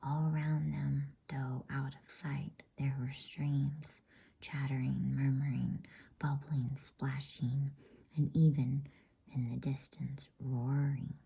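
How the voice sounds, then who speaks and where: A woman reading a novel aloud as a bedtime story in a hushed, unvoiced ASMR whisper. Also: very deep voice, flat delivery, slow pace, vocal fry, husky, highs cut off.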